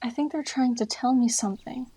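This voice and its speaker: A female medicine cat, played by a woman, grave and caring, with a mystical unease. The voice is breathy and a little high, in the style of a storybook audio drama. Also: a little fast, warm tone. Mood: uneasy, caring. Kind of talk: acted fan audiobook dub.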